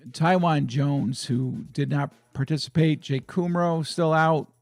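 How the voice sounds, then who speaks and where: A hoarse man hosting a sports fan channel, chatting casually and excitedly about his team's week. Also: a little high, no room sound. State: hoarse, raspy voice. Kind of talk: casual fan vlog chat.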